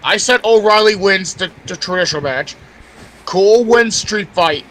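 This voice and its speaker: A man's voice: sits high, lively intonation, normal speed, fairly bright tone. A man giving casual sports commentary as a podcast panelist, insistently repeating and rephrasing his points.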